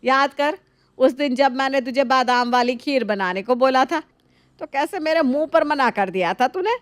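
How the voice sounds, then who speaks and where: A very high-voiced, older mother-in-law played by a woman from a cartoon moral tale, recorded dry and close. She nags and gushes in an exaggerated, theatrical manner. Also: moderate pace, clean tone, no echo, close to an everyday voice. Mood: scolding. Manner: exaggerated, complaining, effusive. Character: nagging older mother-in-law, gushing over niece, scolding her own. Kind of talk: animated moral story dialogue.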